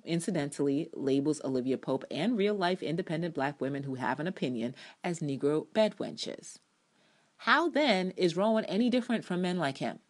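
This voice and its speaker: Woman, low-pitched voice, emphatic delivery, opinionated commentary, dramatic essay reading. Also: a little mellow. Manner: emphatic, opinionated, acts out quotations. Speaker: TV show reviewer.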